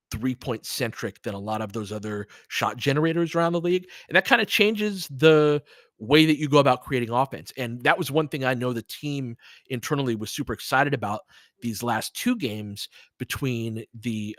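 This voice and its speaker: A man's voice: medium pitch, moderate pace, fairly bright tone, no echo, clean studio sound. A man hosting a sports stream, chatting casually with listeners and giving analysis and commentary in a thoughtful, somewhat hesitant, conversational way. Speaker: sports podcast host and live play-by-play commentator.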